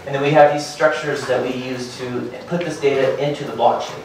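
A man in his thirties giving a hurried startup presentation at a meetup, full of fillers and restarts. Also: mid-range voice, steady tone, a little hiss or hum. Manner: hurried, filler-heavy, restarts and repeats words. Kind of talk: conference talk. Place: meetup room with projector and seated audience. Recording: very echoey room from far off.

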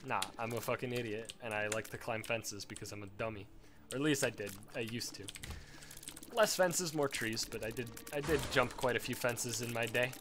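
A man's voice: medium pitch, normal speed, noisy background. A man of twenty-one with a sore throat, rambling and self-deprecating as he commentates a game, swearing at it now and then. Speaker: let's play commentator. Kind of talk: casual gameplay commentary.

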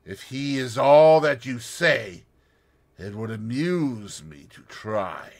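A man's voice: mid-range voice, voice pushed up, full character voice. Man doing a cool, scornful schemer woman. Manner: slow, calm, scornful and measured.

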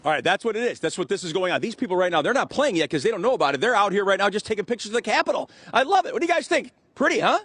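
A middle-aged male reporter talks very fast in a fairly high, husky voice. He is effusive and chatty, interviewing live outdoors into a handheld mic. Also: big pitch swings, fairly bright tone, no echo. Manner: enthusiastic, effusive. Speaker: TV field reporter. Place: outdoors in a sunny city park. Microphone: handheld reporter microphone.

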